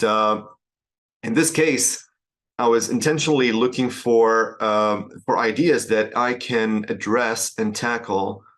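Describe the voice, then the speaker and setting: A man from Syria, a founder answering interview questions in a measured way with frequent hesitations and restarts. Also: on the low side, a bit bright. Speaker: startup founder, guest.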